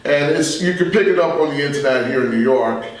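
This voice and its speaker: An American man in his sixties speaking as a veteran radio journalist in a reflective interview, measured and halting with emphatic asides. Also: fairly high pitch, distant mic. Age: 60s. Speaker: veteran radio journalist and talk show guest. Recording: very echoey room, off the mic.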